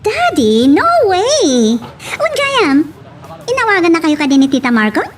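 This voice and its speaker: A woman's voice: very high voice, big pitch swings, a little slow, heavily acted. A woman playing a brash, teasing, spoiled young daughter in an Ilocano audio drama. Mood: dismissive. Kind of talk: Ilocano radio-style drama acting.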